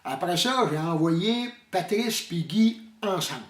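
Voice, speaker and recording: A man's voice: sits high, lively intonation, measured pace, a bit bright. A man with a Quebec French accent telling a comic hunting story in a folksy, hesitant way. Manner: folksy, hesitant, mixes up sayings. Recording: roomy echo, distant mic.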